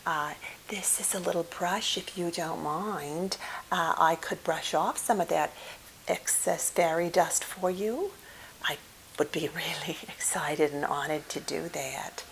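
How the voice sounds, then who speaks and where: A woman playing a gentle, caring psychotherapist in an ASMR roleplay, speaking softly and often whispering in a hesitant, reassuring way. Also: low-pitched, gravelly, a little hiss or hum, full character voice, low-quality recording. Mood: gentle, delighted. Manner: hesitant, soothing, reassuring, with many soft pauses. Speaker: ASMR performer.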